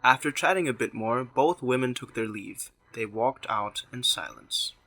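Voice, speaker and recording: A man's voice: medium pitch, moderate pace, bright, forceful tone. A man reading scripted narration for a radio drama.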